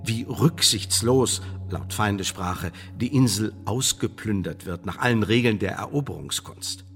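An actor playing a coolly menacing English intelligence official in a radio play, speaking in formal, measured, condescending bureaucratic phrases. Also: male voice, lowish voice. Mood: persuasive, emphatic. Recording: studio radio play with long reverb.

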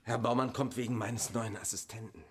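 A man's voice: fairly low pitch, steady tone, a little fast, a little hiss or hum, lightly acted. A man playing a fussy, formal engineer who is officious and polite, in a comic radio drama. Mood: formal, introducing. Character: fussy, formal engineer at an odd research station.

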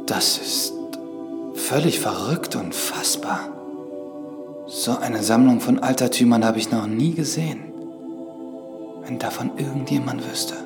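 An actor playing a reserved, inquisitive priest in a German radio drama, speaking in a formal, hushed, questioning way. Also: male voice, mid-range voice, measured pace, gravelly, long pauses, music in the background. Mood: astonished. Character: reserved, inquisitive male priest, astonished visitor.

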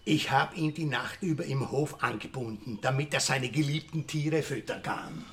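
An actor playing a bitter, cornered Austrian village murderer in a radio crime drama, indignant and sneering. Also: male voice, medium pitch, normal speed, a bit bright, close to an everyday voice. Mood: gloating, bitter.

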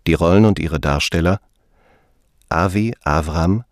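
A male announcer reads scripted credits for a radio play. His voice is extremely deep and his pace is very slow. The sound is close and dry. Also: no echo.

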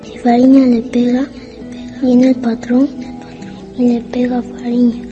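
A woman's voice: a little high, completely flat delivery, measured pace, dull audio, over music, lightly acted. A woman playing a timid, frightened little schoolboy with a plaintive, childlike way of talking in a radio drama. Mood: worried, fearful. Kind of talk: radio drama inner monologue.

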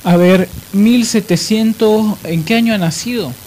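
A man's voice: sits high, measured pace. A man hosting a live radio discussion, explaining didactically and repeating phrases for emphasis. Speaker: radio talk host. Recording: noisy live radio with steady hiss.

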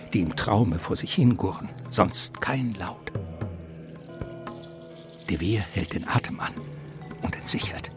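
An actor narrating a mock gothic mystery radio play in a theatrical, savouring, suspenseful literary style. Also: male voice, deep voice.

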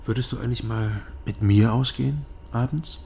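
An actor playing a dry, guarded investigator in a radio crime drama, speaking tersely and understated. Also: male voice, medium pitch, moderate pace, creaky, dark tone, a little hiss or hum, lightly acted. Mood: coaxing.

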